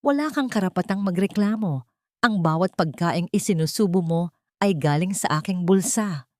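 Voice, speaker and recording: A woman's voice: fairly low pitch, lively intonation, moderate pace, no echo, studio quality, close to an everyday voice. A woman voicing a cruel, haughty elderly matriarch in an audiobook drama, scolding and threatening in an imperious way. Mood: furious, threatening.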